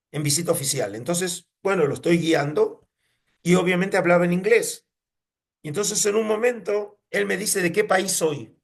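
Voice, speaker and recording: A man with an Argentine accent telling anecdotes in conversation as an interview guest, in an emphatic way with frequent tag questions. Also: sits high. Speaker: museum curator, interview guest.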